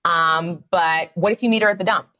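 A woman's voice: fairly low pitch, brisk, bright, forceful tone, dull audio. A woman, a psychotherapist guest on a podcast, explaining her views in a conversational way with many fillers. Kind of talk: podcast interview.

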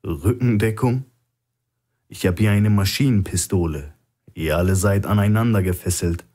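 Masked gunman for thriller audiobook, male narrator acting: low, dark, coarse, threatening. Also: normal speed, natural voice. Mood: gruff, scornful. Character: menacing, nervous masked male gunman guarding hostages.

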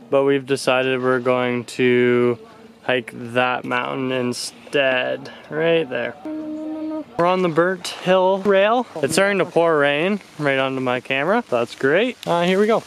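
A man's voice: mid-range voice, unhurried, a little hiss or hum. A young man in a casual travel vlog, chatting upbeat to a camera held at arm's length while hiking outdoors. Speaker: travel vlogger. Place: outdoors on trails and coastal lookouts.

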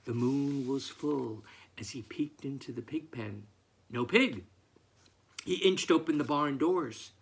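A man's voice: mid-range voice, takes it slowly, breathy edge. A man in his sixties, an author, reading aloud expressively at home. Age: 60s. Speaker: picture book author. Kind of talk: expressive picture book read-aloud and reading out letters. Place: book-lined room at home, indoors.

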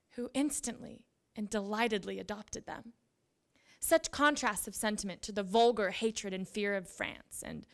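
Creaky-voiced young woman reading aloud. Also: mid-range voice, fairly bright tone. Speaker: reader at a literary event. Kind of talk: dramatic reading of historical letters. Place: library event room with seated audience. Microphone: handheld microphone at a lectern.

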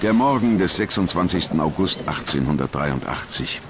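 A man's voice: low voice, expressive, normal speed. A man narrating a documentary from a script in a dramatic style. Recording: voice-over with clear background noise.

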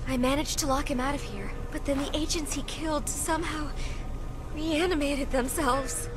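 A frightened female scientist in a video game, played by a woman. She speaks high and haltingly, shaken and defensive, over loud dramatic music. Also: close to an everyday voice.

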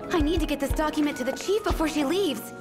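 Woman playing polite young office lady in anime game, high expressive voice, composed, loud music behind. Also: fast talker, natural voice. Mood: businesslike. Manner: courteous, composed. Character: polite, diligent young female office deputy, gentle but firm. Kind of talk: anime-style game voice acting.

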